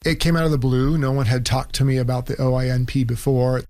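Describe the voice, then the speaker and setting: Middle aged guy telling his story casually, really creaky gravelly voice. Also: mid-range voice, steady tone, fast talker, a little mellow. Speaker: interviewee, immigrant doctor. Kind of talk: conversational personal storytelling.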